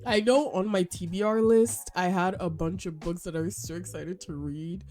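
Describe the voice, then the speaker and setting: A young woman hosting a casual book review vlog, chatty and animated with slang, sounding a little nasal from a cold. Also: lowish voice, moderate pace, soft background music. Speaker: host.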